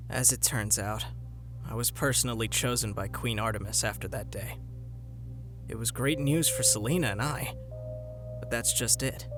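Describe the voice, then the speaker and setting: A man playing a young, adventurous alien historian in an animated film, earnest and hopeful in a reflective, conversational way. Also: mid-range voice, lightly acted. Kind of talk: animated film voice-over narration and dialogue. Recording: music under the voice.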